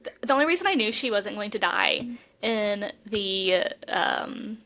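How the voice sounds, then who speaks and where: A woman's voice: medium pitch, expressive, measured pace, creaky, sharp tone. A young woman co-hosting a podcast, talking in a casual, conversational style. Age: young adult. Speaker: podcast co-host. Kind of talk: casual chat.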